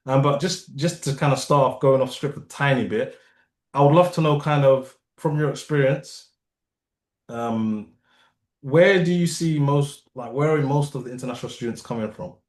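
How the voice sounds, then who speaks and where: A man's voice: medium pitch, moderate pace, echoey room. A male podcast host from the United Kingdom who talks casually and loosely, asking rambling questions with fillers over a video call. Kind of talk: casual interview hosting.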